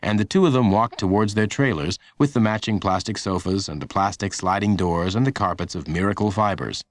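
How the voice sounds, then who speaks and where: A man reading a children's audiobook as scripted narration, in a measured, dramatic storytelling manner. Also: on the low side, no room sound.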